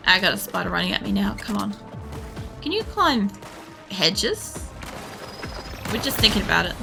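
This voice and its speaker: Creaky-voiced woman game streamer. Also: mid-range voice, very animated, normal speed, bright, forceful tone, faint music behind. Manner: sweary, blunt, thinking aloud. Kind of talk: casual live gameplay commentary, rambling chat.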